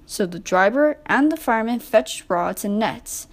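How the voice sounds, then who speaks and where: A woman's voice: fairly high pitch, lively intonation, a little slow. A woman reading a scripted children's story as narrator.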